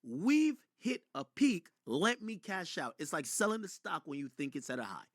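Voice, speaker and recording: Male streamer, highish animated voice, emphatic, opinionated, profane, live commentary, dry sound. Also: normal speed, no room sound. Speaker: streamer commenting on rap news; record label owner. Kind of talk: live reaction commentary to chat.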